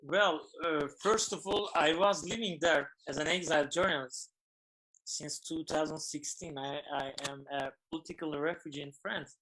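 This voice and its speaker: A man with a Turkish accent, a film director guest on an online interview, speaking English haltingly and searching for words. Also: a little high, bright, forceful tone, low-quality recording. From Turkey.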